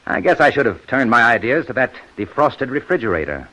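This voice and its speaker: Commanding detective inspector, mid pitch, lively intonation, deliberate probing manner, vintage radio drama recording. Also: male voice, low-quality recording. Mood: shrewd, musing. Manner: deliberate, probing, pointed questioning. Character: methodical, shrewd, commanding male police inspector. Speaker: radio drama actor playing the lead detective. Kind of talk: 1950s radio detective acting. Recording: old 1950s radio recording.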